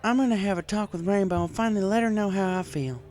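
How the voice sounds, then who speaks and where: A man's voice: high-pitched, fast talker, darkish tone, pitched above the performer's own voice, close to an everyday voice. A man voicing a heartbroken young female cartoon pony from a farm, plain-spoken and folksy, in a storybook reading. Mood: resolved.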